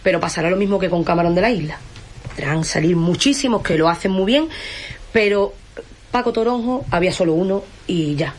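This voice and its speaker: A woman's voice: on the low side, fairly quick, darkish tone, slightly rough. Andalusian woman, emphatic, repeats herself for stress, casual live chat. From Huelva, Andalusia, Spain.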